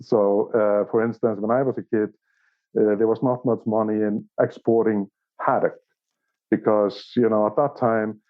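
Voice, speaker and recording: Low-voiced Icelandic man on a phone-like line. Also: flat delivery. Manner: halting, repeats words while searching for phrasing. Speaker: interview guest, company operations director. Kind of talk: casual conversational interview answers. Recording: thin phone-like call audio.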